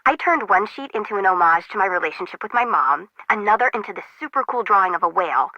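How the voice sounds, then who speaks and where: A woman's voice: medium pitch, expressive, fast talker, no echo, dull audio, lightly acted. A woman voicing a quirky, anxious young intern who works as a radio operator in a video game, with slangy, dry, jokey delivery. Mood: wry, teasing. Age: young adult. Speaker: harbor control tower operator.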